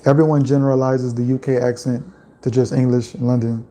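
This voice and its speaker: Mellow Louisiana man chatting on stream. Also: medium pitch, a little fast, a little hiss or hum. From Louisiana, USA. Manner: relaxed, chatty, rambling with many "like" fillers. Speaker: livestream host. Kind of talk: casual livestream chat reading viewer comments.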